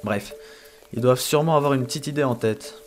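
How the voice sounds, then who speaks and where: A man playing an aloof, calculating young potion student in an amateur fantasy audio drama, with a terse, matter-of-fact, deadpan delivery. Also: medium pitch, fast talker, soft background music, natural voice. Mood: wary, calculating.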